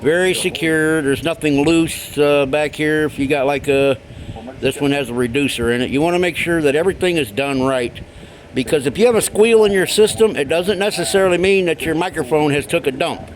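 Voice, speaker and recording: A man's voice: a little high, brisk, a little hiss or hum. A middle-aged American man giving a casual hands-on explanation in a folksy, informal way. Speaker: hobbyist giving radio tips. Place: indoors at a wooden workbench.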